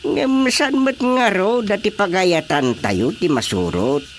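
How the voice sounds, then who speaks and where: A man's voice: high-pitched, animated, slow, a little hiss or hum. A man playing a grumbling, world-weary elderly husband with an Ilocano accent in a comic radio drama, drawling and exclamatory.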